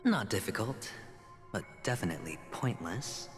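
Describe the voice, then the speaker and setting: Cocky gambler with swooping delivery, played by an actor. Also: male voice, fairly low pitch, lively intonation, natural voice. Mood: smug, teasing. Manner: theatrical, taunting, drawn-out phrases. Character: cocky, smooth young gambler prisoner bargaining boldly. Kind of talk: dramatic game voice acting. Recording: long hall reverb, music underneath.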